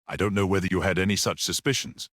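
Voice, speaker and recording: Impulsive, suspicious gentleman as a man reads him. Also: deep voice, fairly even intonation, quick pace, no room sound, clean studio sound. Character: impulsive, curious, suspicious male companion of a priest.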